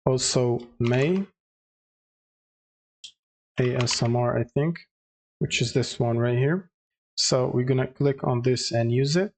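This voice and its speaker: A man narrating a step-by-step software tutorial with hesitant, halting phrasing. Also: mid-range voice, a little hiss or hum. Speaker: tutorial presenter.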